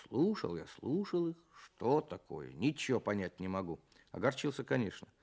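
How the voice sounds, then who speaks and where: A man telling stories and reciting children's poems in an expressive, theatrical way, as a writer on a programme for children. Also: lowish voice, moderate pace, creaky, slightly rough. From Russia.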